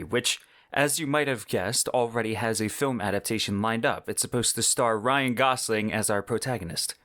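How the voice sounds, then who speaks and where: An enthusiastic male podcast host talks through a scripted book review in a relaxed, chatty way. His voice sits at an ordinary middle pitch. The recording is dry and close, with no room sound. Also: dry recording. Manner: enthusiastic, conversational, with casual asides.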